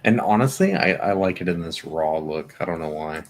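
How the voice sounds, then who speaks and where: A man's voice: low voice, normal speed. A man co-hosting a casual podcast, laid-back and a bit hesitant as he reads out specs. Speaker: podcast co-host. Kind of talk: casual chat on a live stream.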